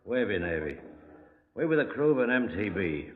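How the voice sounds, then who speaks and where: An actor playing an easygoing middle-aged ex-navy mechanic with a British accent, casual and matter-of-fact, in a 1950s radio drama. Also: male voice, lowish voice, sing-song, normal speed, a crowd in the background. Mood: easy, chatty. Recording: tinny, echoey old 1950s radio recording.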